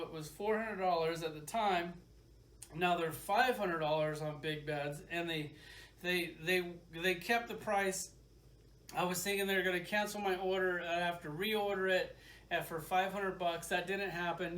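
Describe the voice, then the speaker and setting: High-pitched man casually reviewing a product. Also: fairly bright tone. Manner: informal, opinionated, rambling. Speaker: toy reviewer.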